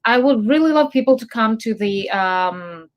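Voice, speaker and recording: A woman's voice: fairly high pitch, animated, moderate pace, dull audio. A woman from Greece, a filmmaker guest, answering interview questions thoughtfully with many fillers.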